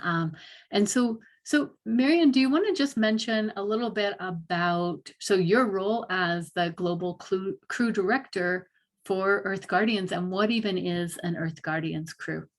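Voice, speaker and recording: A woman's voice: mid-range voice, measured pace. A woman hosting an online panel, chatty and upbeat, with frequent laughs and filler words. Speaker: host.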